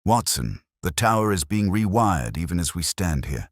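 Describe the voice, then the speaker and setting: A man voicing a shrewd, commanding Victorian detective in a story, calm, grave and deliberate. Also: low voice, dry recording, studio quality. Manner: terse, deliberate, authoritative.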